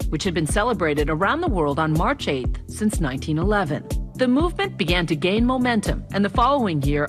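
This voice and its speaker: A woman reporter reads a scripted segment with a polished, broadcast delivery. Her pitch moves expressively through each line, and faint music runs under her voice. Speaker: news reporter.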